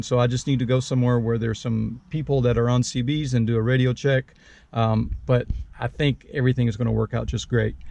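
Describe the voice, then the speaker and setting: A man's voice: medium pitch, vocal fry, a little mellow. A man giving a casual, unscripted product walkthrough as a channel host, in a hesitant, rambling way with frequent repeated words.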